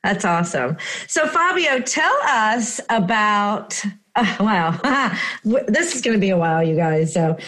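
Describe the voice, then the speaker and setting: A woman's voice: mid-range voice, big pitch swings, unhurried, a bit bright. A woman hosting an interview, speaking in a gushing, enthusiastic way and laughing easily. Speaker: host. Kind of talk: casual interview.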